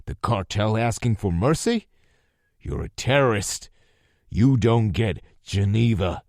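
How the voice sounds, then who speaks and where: A man's voice: deep voice, normal speed, mellow, no room sound, studio quality. A man voicing a ruthless, sarcastic male officer who barks commands. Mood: menacing. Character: gruff hard-edged male officer, ruthless.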